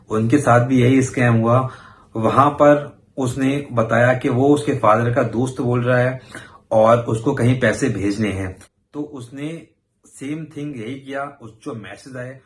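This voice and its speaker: A man hosting an informal explainer for viewers, talking conversationally and mixing in English words. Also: medium pitch. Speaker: host of a banking awareness video channel.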